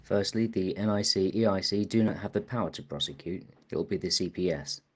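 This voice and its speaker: A man voicing a pedantic commenter who corrects someone point by point, in a stern, husky voice. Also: sits low, a little fast, voice pushed down.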